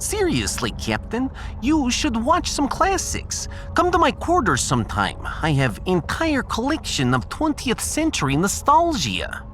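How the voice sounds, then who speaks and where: A woman's voice: on the high side, huge pitch swings, unhurried, hardly any pauses, a bit bright, faint music behind, full character voice. A woman playing a playful, teasing female starship computer in a sci-fi audio drama. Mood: flirty.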